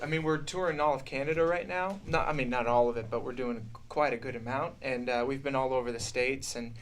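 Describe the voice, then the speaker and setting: A man's voice: mid-range voice, steady tone, a little fast. A man in his thirties chatting earnestly and reflectively as a musician in a casual radio interview. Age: 30s. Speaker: musician, tribute duo singer. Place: small radio studio, indoors. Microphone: studio mic on boom arm.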